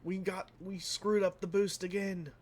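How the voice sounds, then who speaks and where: A man casually commenting while he plays a racing game, rambling and self-deprecating, with a flat, slightly nasal voice that trails off into mumbles. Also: high voice, unhurried. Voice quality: sounds flat and a bit nasal, by his own account. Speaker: let's play host.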